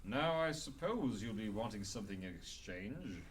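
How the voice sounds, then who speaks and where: An actor playing a cocky, wry, pun-slinging crime-fighting hero with dramatic, self-assured delivery in a radio drama. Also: male voice, lowish voice, expressive, normal speed, close to an everyday voice. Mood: stern, wary. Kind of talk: amateur radio drama acting. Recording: faint noise and ambience, slightly distant.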